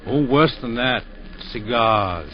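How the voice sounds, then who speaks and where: An actor playing a gentle, good-humored village vicar, genial and lightly teasing, in a 1940s radio play. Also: male voice, mid-range voice, animated, slow. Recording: muffled 1940s radio broadcast.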